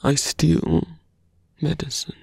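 A man playing a seductive, embittered slum-born villain in a fantasy ASMR roleplay, speaking slowly and intimately in a breathy, gravelly half-whisper. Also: no room sound, studio quality, natural voice. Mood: bitter, intimate. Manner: slow, intimate, half-whispered, deliberate pauses.

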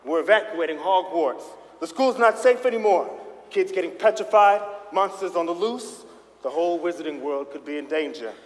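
A man acts a brash wizard police chief in a stage comedy. The voice is high for a male, commanding and declamatory. It echoes in a large hall. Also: expressive, normal speed, natural voice. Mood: grave urgency. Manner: authoritative, declamatory. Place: live staged reading on a stage. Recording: reverberant hall.

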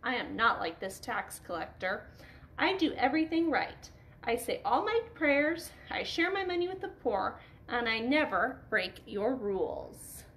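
A proud, self-righteous church leader from a children's storybook, voiced by a woman, prays boastfully. The voice is high and measured, over faint background noise. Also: very animated, a bit bright, exaggerated voice, low-quality recording. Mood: smug.

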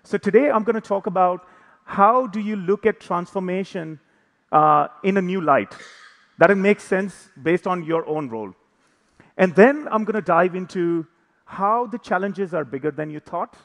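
A man's voice: high-pitched, moderate pace, creaky, fairly bright tone. A man in his thirties giving a conference talk on stage, conversational and self-deprecating with light jokes. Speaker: conference speaker. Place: large conference hall on stage. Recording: reverberant hall.

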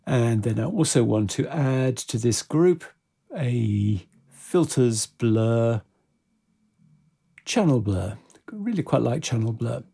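A man giving a casual step-by-step software tutorial in a measured, explanatory way. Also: medium pitch, animated, creaky, slightly rough.

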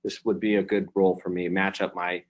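A man's voice: low voice, level tone, a little fast, highs cut off. A man, a company chief executive, answering questions on a conference call in a reflective way, with many fillers and restarts. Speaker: company CEO, keynote guest.

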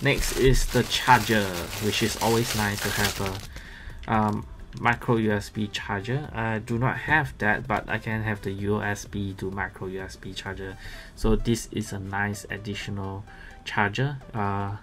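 A man gives casual, halting unboxing commentary as a gadget reviewer. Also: fairly low pitch, steady tone. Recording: music playing behind the voice.

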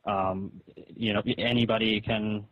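A man's voice: on the low side, little pitch movement, unhurried, no echo. A man on a tinny phone call, arguing his side in a hesitant, conciliatory, reasoning way. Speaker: caller. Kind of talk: phone argument, casual and conciliatory. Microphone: telephone. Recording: narrow, tinny phone line.

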